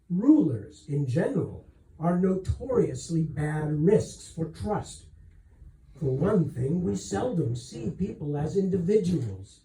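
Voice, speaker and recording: A gracious, wry queen, as a man reads the part from a novel, speaks slowly and reflectively. The tone is very mellow with lively turns of pitch, in an echoing room. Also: heavily acted. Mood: gentle, frank. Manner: measured, regal, reflective. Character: gracious, self-doubting adult queen, wry and frank. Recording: roomy echo, distant microphone.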